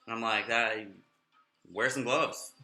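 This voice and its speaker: A man's voice: medium pitch, slow pace, bright, forceful tone, roomy sound, faint music behind. A young man vlogging in a casual, rambling way, sounding nasal and slurring his words a little. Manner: rambling, off-topic, chatty.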